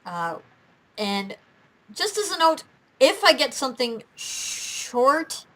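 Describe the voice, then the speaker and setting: A woman's voice: sits high, big pitch swings, slow pace, a bit bright. A young woman hosting a casual chat, talking in a giggly, rambling way. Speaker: host of a fan gaming and quiz show.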